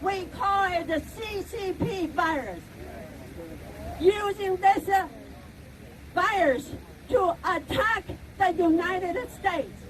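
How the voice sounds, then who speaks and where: A middle-aged woman from China with a Chinese accent, giving an emphatic protest speech outdoors and rising to shouts at key words. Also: extremely high pitch, a little hiss or hum, low-quality recording. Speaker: rally speaker. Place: outdoors at a street rally.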